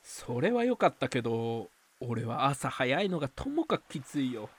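A man's voice: mid-range voice, big pitch swings, normal speed, slight background noise, close to an everyday voice. A man playing a cynical, womanizing university student with a blunt, grumbling way of talking in an amateur radio drama.

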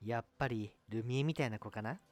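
A man playing a boastful, lovestruck, excitable young guy with a boyish, gushing manner in an amateur comedy radio drama. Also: mid-range voice, fast talker, soft background music, lightly acted. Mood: playful.

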